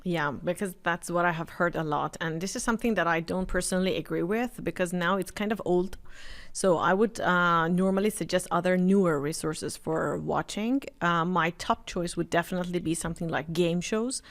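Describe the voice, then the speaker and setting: A woman who teaches English, speaking as a panel guest and explaining her views in a measured way. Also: lowish voice. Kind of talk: panel discussion.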